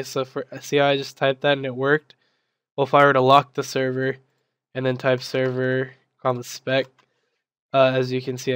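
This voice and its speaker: Young man, recovering from losing his voice, casual tutorial explainer, lots of ums, monotone, clean and dry desk mic. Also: mid-range voice, smooth, no room sound. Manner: casual, filler-heavy explaining with restarts. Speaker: server admin giving a tutorial. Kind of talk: screen-recorded software tutorial.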